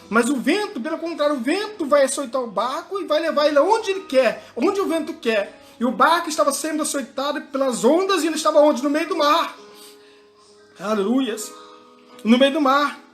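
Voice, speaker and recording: A man with a very high voice preaches in a church, with soft music behind him. He keeps checking in with the congregation, asking questions and answering them himself. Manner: peppers talk with "né?" and "amém?", asks and answers. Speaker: pastor. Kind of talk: sermon.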